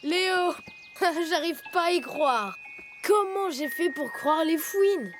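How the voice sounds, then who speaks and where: A woman voicing a proud, touchy young boy tiger cub in an animated series. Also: extremely high pitch, slow, exaggerated voice. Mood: excited. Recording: music behind the voice.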